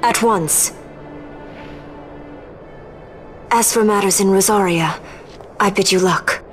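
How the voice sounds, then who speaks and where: An actress playing a proud young princess in a video game, speaking gravely and formally. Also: female voice, medium pitch, normal speed, soft background music, natural voice. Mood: cool, resolute. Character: resolute, solemn young princess, proud and burdened. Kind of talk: video game cutscene acting.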